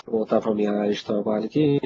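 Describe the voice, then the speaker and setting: A man's voice: lowish voice, quick pace. Congested Brazilian man, noisy lo-fi webinar. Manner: informal, conversational, frequently checks in with listeners. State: congested with a cold. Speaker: IT instructor answering webinar questions. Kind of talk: casual live webinar Q&A. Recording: dull lo-fi webinar audio, loud noise.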